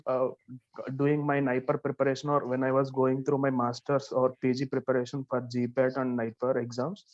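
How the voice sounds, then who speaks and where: A young man from southern India with a Telugu-influenced English accent, a student giving a hesitant practice talk with many pauses. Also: medium pitch, a little mellow, highs cut off. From Andhra Pradesh, India. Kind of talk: practice speaking test.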